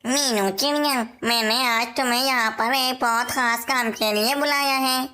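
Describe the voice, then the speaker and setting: A woman's voice: fairly high pitch, fairly even intonation, moderate pace, pushed and bright. A woman voicing a worried, dutiful parrot king and father in a cartoon, speaking in an earnest, declamatory way. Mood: instructing. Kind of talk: Hindi cartoon voice acting.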